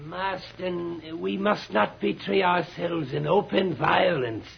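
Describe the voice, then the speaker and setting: An actor playing a cold, calculating scientist in an old radio serial, formal and deliberate, with a raspy, gravelly voice. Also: male voice, sits high, exaggerated voice. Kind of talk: 1950s radio serial acting. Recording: dull lo-fi old radio recording.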